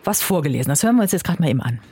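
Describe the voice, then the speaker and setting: A woman hosting a radio morning show, chatting casually and leading a guest with quick questions and interjections. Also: sits low, big pitch swings, dark tone, slightly rough, no room sound.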